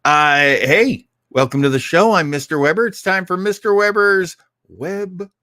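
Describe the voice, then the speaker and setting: A man hosting an old-time radio show casually, in a rambling, hesitant, animated way. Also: high-pitched, a little slow, dry recording, studio quality. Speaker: show host.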